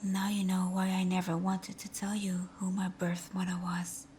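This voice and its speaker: A woman playing a timid, tender young villainess in a whispered ASMR roleplay, speaking softly and haltingly in an intimate way. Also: fairly low pitch, completely flat delivery, a little hiss or hum, close to an everyday voice. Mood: wounded, confiding. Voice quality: soft, breathy whisper. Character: timid, tender female fox supervillain confessing to best friend.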